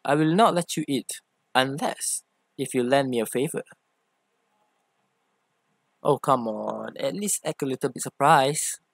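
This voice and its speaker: A man's voice: mid-range voice, unhurried, creaky, long pauses, dry recording. Man acting a playful, teasing femboy boyfriend, closely recorded. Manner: theatrical, teasing, coaxing. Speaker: ASMR roleplay voice actor. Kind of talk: ASMR boyfriend roleplay.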